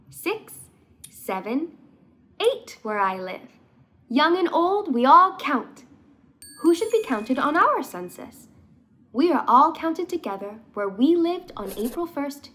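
A woman plays a proud little girl in a children's storybook, high and bouncy in pitch. The girl talks boldly and emphatically about her life. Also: pushed and bright, heavily acted. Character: proud, loud little girl from migrant farmworker family.

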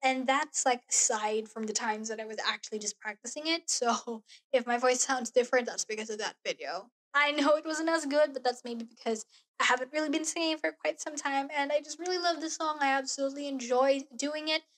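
A young woman vlogging in a small bedroom into a close studio mic, talking earnestly about a show with a hoarse voice. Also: sits high, brisk, fairly bright tone. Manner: gushing, earnest, gestures while talking. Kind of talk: casual review vlog. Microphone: studio mic on boom arm, close.

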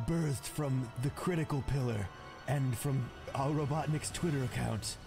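A man voicing a cocky, deadpan, boastful hedgehog antihero in an improvised comic game fandub. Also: mid-range voice, measured pace, gravelly. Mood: smug. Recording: faint game music behind.

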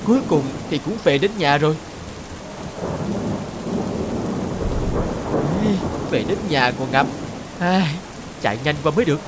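A man playing a grumbling, higher-pitched young farmer. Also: lively intonation, fairly quick, heavy background noise, natural voice. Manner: exasperated, dismissive. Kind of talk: animated folk tale dubbing.

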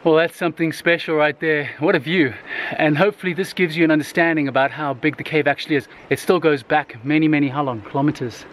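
An enthusiastic man in his thirties speaks straight into a handheld camera held at arm's length. His voice sits a little high. A faint hiss of outdoor noise stays under his talk. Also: fairly even intonation, fast talker. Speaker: travel vlogger. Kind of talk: casual travel vlog. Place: outdoors in jungle and inside a huge cave.

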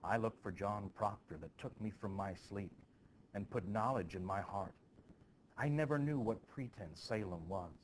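A man's voice: lowish voice, normal speed, a little hiss or hum, lightly acted. A man voicing a lovesick, defiant young woman from a stage play, pleading dramatically.

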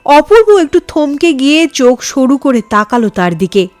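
A woman reads a horror story as a dramatic narrator. Her voice is high and lively, rising and falling to build suspense. Faint music runs beneath the narration. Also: normal speed. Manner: expressive, suspenseful.

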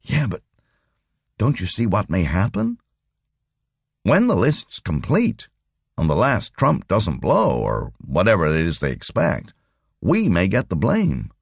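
A man voicing an excitable, worried male computer engineer in an audiobook, talking urgently and conversationally. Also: low-pitched, unhurried, vocal fry, pauses often, breathy edge, no echo, studio quality, full character voice.

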